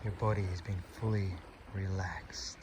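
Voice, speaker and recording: A man leading a guided meditation in a calm, soothing manner, giving slow instructions with long pauses. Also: sits low. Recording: loud water and wind ambience. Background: loud ocean water ambience.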